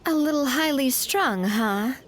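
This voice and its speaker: Expressive, high-voiced young game noblewoman. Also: a little slow, a little hiss or hum. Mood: musing. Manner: conversational, explanatory. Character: poised, friendly young noblewoman, easygoing and expressive. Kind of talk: video game voice acting. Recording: music behind the voice.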